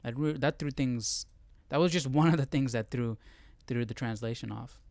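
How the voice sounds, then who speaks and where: A man's voice: mid-range voice, moderate pace, a little mellow, no echo. A man hosting a casual livestreamed study session, thinking aloud informally and reading passages out as he works through them step by step. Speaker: Bible study livestream host.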